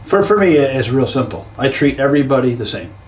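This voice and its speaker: An older man from Canada with a Canadian accent, giving plainspoken, blunt and somewhat halting answers as a local council candidate. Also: medium pitch, very animated. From Norwich, Ontario, Canada. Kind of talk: informal interview answers. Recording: distant, echoey, noisy room sound.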